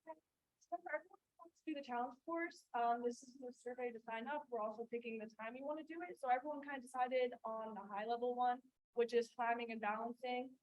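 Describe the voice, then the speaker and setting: A woman's voice: on the high side, fast talker, a little mellow. A young woman on a student club board, plainly announcing a sign-up to members. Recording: dull mic sound.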